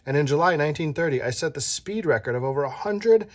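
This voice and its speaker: An adult reading a children's picture book aloud, playful and animated, stopping to chat with the child listening. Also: mid-range voice, quick pace. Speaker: read-aloud storyteller.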